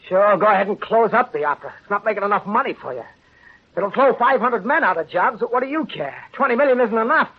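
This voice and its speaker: Rapid, husky, desperate farmer. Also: male voice, sits high, animated. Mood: furious, desperate. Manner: accusing, impassioned. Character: desperate, bitter out-of-work farmer with hungry family. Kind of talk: 1930s radio acting. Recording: muffled, lo-fi 1930s radio broadcast.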